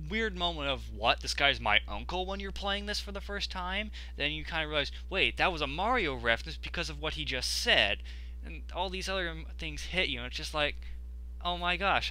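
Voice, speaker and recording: A man's voice: medium pitch, pushed and bright, low-quality recording. A young man giving casual, rambling video commentary as an enthusiastic gaming fan. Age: young adult.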